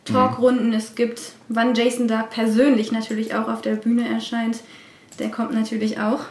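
A woman's voice: on the high side, monotone, normal speed. A woman, an event organiser, answering casually in an office interview. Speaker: convention organiser being interviewed. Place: indoors in an office. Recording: echoey room, distant from microphone.

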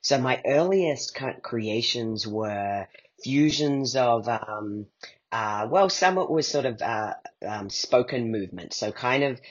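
A man's voice: medium pitch, animated, takes it slowly, husky, highs cut off. A middle-aged man answering as an interview guest, reflecting in a hesitant, meandering way as he thinks aloud. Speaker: guest, playwright and performer.

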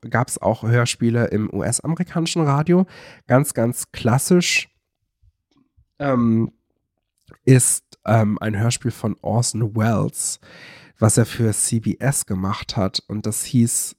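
A young man explains things casually on a podcast, haltingly searching for words and correcting himself. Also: on the low side, a little mellow, no echo, clean studio sound. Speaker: podcast co-host.

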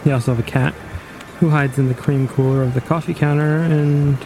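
Man acting a gravelly, matter-of-fact wayfinder. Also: fairly high pitch, fairly even intonation, fairly quick, background noise, natural voice. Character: dry, matter-of-fact post-apocalyptic wayfinder man recounting strange trips. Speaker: actor in an audio drama. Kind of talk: audio drama interview storytelling.

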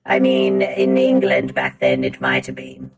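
A performer voicing a prim female robot nanny on a podcast, speaking in a halting, proper, faux-British way. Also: rumbling low, monotone. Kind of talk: comic bit on a podcast.

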